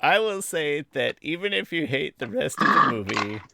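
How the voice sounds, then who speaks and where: Gravelly, bright-voiced man, close and dry. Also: on the high side, sing-song, moderate pace, dry recording. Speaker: podcast co-host. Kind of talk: casual opinionated chat.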